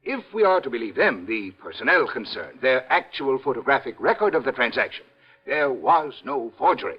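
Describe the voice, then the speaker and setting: An American actor playing a pompous, self-important insurance executive of about sixty in a 1950s radio drama, fussy and emphatic. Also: male voice, mid-range voice, big pitch swings, normal speed, husky. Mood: grave, pompous. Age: 60s. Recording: muffled old radio recording.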